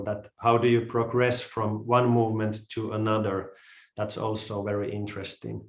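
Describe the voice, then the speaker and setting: Slow-talking Finnish man in a roomy space. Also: mid-range voice. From Finland. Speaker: yoga and calisthenics instructor. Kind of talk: live stream class introduction. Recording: roomy, slightly dull live-stream audio.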